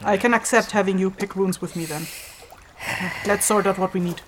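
A woman's voice: medium pitch, natural voice, rough recording. Woman playing a stern but patient magic teacher, medium voice, bit of background noise. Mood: instructive, calm. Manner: measured, authoritative, teacherly. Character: assured, stern fantasy ritual caster, patiently instructive. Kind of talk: fantasy audio drama acting.

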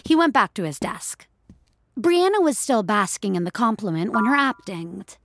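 A woman's voice: medium pitch, big pitch swings, moderate pace, a little hiss or hum. A woman narrating scripted fiction as a storyteller.

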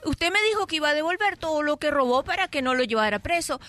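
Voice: high voice, animated, quick pace, bright, forceful tone. A woman voicing a stern judge who scolds a repeat thief. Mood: scolding.

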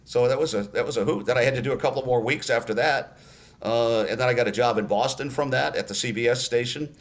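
An older man from Massachusetts recalls his radio career in a loose, relaxed way. He keeps his pitch in a middle range and pauses often on "uh" as he goes. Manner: relaxed storyteller, many "uh" pauses. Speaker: radio play-by-play announcer. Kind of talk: podcast interview, storytelling.

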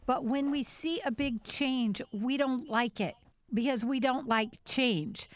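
A woman's voice: high voice, slow pace. A middle-aged woman, a radio host, chatting earnestly in a casual panel discussion and thinking aloud.

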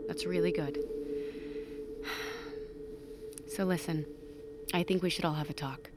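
An actress playing a grave, caring young neurology student, speaking in a measured, explanatory way in an audio drama. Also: female voice, lowish voice, fairly even intonation, very noisy, close to an everyday voice. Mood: concerned, careful. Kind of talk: naturalistic audio drama acting. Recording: loud music under the dialogue.

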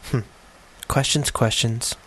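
A man's voice: medium pitch, fairly even intonation, slow, fairly bright tone, rough recording. A man co-hosting a podcast, chatting casually with a wry manner. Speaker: podcast co-host.

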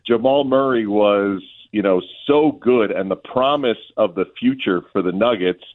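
A man speaking as a guest play-by-play announcer in a radio interview, giving conversational sports analysis in a measured, discursive way. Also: lowish voice. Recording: thin phone-like line.

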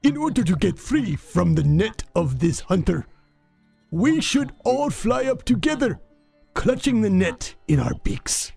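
A man voicing a wise, noble king of doves, declaiming slowly and grandly in a storybook reading. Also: high-pitched, sing-song, creaky, a little mellow, husky, full character voice. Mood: commanding, rallying. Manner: slow, grand, theatrical declaiming. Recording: long reverb with music behind.

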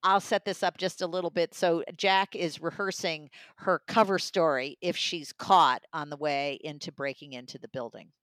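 Woman chatting about a book she rates, keen and emphatic, low voice, really dry close sound. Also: fairly even intonation, normal speed, few pauses, a bit bright, no room sound. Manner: enthusiastic, emphatic. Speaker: audiobook reviewer. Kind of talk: conversational book review.

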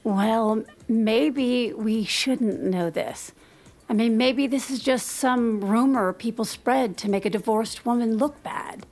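A woman playing a reserved, guarded married woman in an audio drama, speaking in a measured, hesitant, understated way. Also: a little high, darkish tone. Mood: skeptical. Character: reserved, guarded married woman, uneasy among gossiping friends. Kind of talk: naturalistic audio drama dialogue. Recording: music playing behind the voice.

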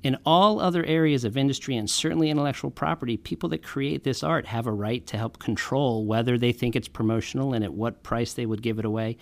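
A man's voice: mid-range voice, fast talker, gravelly, few pauses. A man speaking as a guest on a radio show, arguing emphatically and persuasively with everyday examples. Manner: emphatic, persuasive, builds analogies. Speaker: guest on a music industry show. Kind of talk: arguing a case in a radio discussion.

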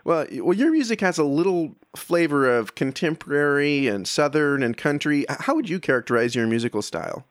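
A man's voice: fairly high pitch, lively intonation, brisk, few pauses, dry recording. Man hosting an interview, dry sound. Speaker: interviewer, show host. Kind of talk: conversational interview questions.

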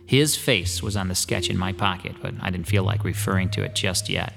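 Uneasy artist narrator, low gravelly voice, hesitant and polite, radio drama, music behind. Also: male voice, brisk. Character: uneasy male artist narrating his own tale. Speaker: narrator and lead character.

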